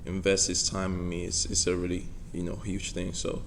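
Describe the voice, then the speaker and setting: Deep, flat-voiced young Nigerian man. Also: normal speed, vocal fry, background noise. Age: young adult. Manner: soft-spoken, many "you know" fillers. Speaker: college football player. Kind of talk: press conference answers.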